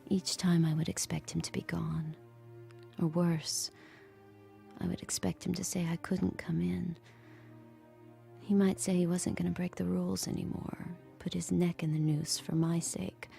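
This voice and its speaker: Audio drama lead: guarded, fearful handmaid, hushed and gravelly, confiding. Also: female voice, fairly low pitch, flat delivery, unhurried, faint music behind. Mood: intimate, tense. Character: fearful, guarded captive handmaid telling her story. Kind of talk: audio drama acting and narration.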